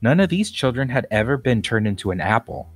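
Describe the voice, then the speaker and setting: A man reading aloud, voicing a calm, mild male art teacher telling a story in a children's storybook. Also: medium pitch, fairly quick, no echo.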